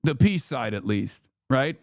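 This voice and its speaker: A man in his thirties streaming casual news commentary, animated and sarcastic, laughing often and swearing freely. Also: mid-range voice, unhurried, no echo, studio quality.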